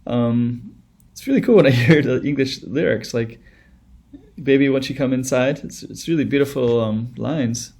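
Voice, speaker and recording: A man in his thirties chatting into a close webcam at home, reacting to a music video. His voice sits at an ordinary middle pitch and his talk wanders, full of "um", "like" and false starts. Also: moderate pace, gravelly, a little mellow. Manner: rambling, with many fillers and restarts. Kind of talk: casual reaction commentary.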